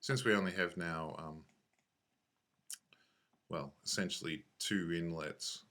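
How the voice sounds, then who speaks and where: Low, flat-voiced man thinking aloud. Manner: halting, thinking aloud, self-correcting. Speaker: software tutorial instructor. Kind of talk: unscripted screencast tutorial narration.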